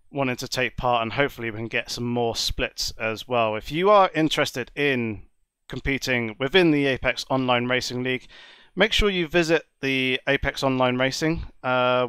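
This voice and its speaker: A man commentating a sim racing broadcast, speaking in a measured, polite and wordy way while nursing a cold that leaves him a little congested. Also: medium pitch, a bit bright, smooth, no room sound. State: has a cold, slightly congested. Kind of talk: live esports race commentary.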